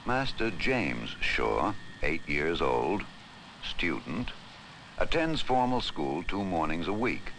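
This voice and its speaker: Deep-voiced 1960s educational film narrator, a man. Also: big pitch swings, a bit bright, slight background noise, poor audio. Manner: measured, formal, deliberate delivery. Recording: 1960s film soundtrack with music behind. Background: music.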